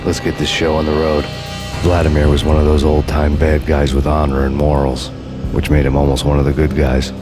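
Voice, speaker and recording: An actor playing a world-weary, framed man, narrating a noir video game in a brooding, deadpan, sardonic way. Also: extremely low pitch, brisk, over music. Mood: dry, sardonic.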